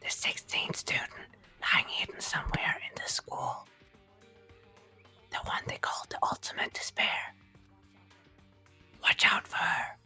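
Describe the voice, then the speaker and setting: A performer voicing an ominous, grave figure in a fan-dubbed game, hushed and slow, as if giving a warning. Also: whispered, soft background music. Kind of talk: fan voice acting of a visual novel game.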